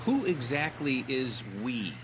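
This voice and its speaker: A man's voice: medium pitch, big pitch swings, slow, noisy background. A man acting in an audio drama as a jaded, skeptical character with a wry, laconic and casual delivery. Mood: skeptical, probing. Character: jaded, wry, skeptical man who smokes, older than student.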